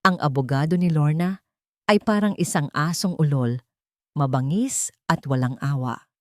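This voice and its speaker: A woman's voice: sits low, normal speed, no room sound, clean studio sound. A woman narrating a scripted audio drama story.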